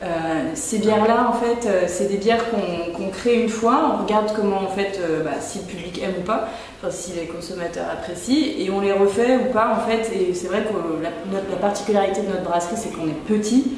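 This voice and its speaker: A woman from Lyon, a microbrewery co-founder, talks casually and hesitantly, pausing on frequent fillers. Her voice bounces around a very echoey room. The mic sits some distance away, with faint background noise. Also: medium pitch, a little mellow. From Lyon, France. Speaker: brewery co-founder. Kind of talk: casual interview answers. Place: microbrewery shop.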